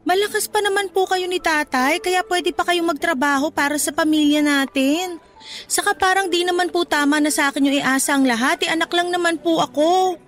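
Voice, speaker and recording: A woman's voice: very high voice, fairly quick, fairly bright tone, faint music behind. A polite, hurt young mother. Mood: pleading. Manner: polite, pleading, defensive. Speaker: actor. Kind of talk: radio drama acting.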